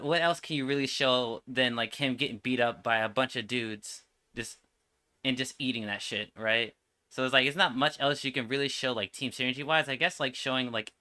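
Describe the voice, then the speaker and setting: A man's voice: mid-range voice, bright, forceful tone, smooth. A man giving casual, opinionated reaction commentary as a streamer, with slangy, sweary speech. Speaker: streamer reacting to game trailers.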